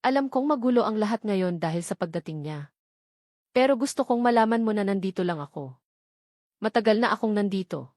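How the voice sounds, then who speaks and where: A woman with a Filipino accent voicing a devoted, serious and gentle male suitor in an audiobook. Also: medium pitch, expressive, fairly quick, no echo, clean studio sound, close to an everyday voice. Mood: serious, tender. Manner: serious, caring.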